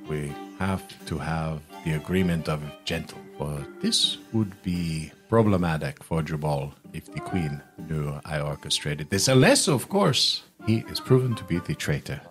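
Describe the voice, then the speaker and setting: A man performs a big, rich merchant schemer for a tabletop fantasy game. His deep voice rises and falls theatrically as he flatters and repeats himself, speaking in a florid, effusive way. Faint music plays underneath. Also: unhurried, hardly any pauses, full character voice. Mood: scheming, delighted. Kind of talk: tabletop roleplay, in character.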